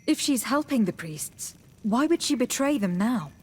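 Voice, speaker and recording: A woman playing a calm, diplomatic young noblewoman envoy in a video game, speaking in a measured, formal and firm way. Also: on the high side, husky. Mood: composed. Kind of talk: video game dialogue acting.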